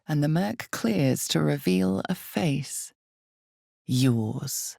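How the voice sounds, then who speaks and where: Ominous, knowing female narrator for a dark fantasy game: heavy vocal fry, mellow and dark tone, theatrical delivery, close and intimate. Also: deep voice, dry recording, exaggerated voice. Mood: measured, eerie. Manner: deliberate, theatrical, intimate storytelling. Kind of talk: dramatic scripted fantasy narration.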